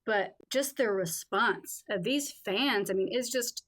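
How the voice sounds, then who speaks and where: Female podcast co-host, medium pitch, loose conversational phrasing with emphatic reactions and frequent "like". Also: unhurried, a bit bright. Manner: informal, emphatic, heavy use of "like". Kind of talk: casual film discussion.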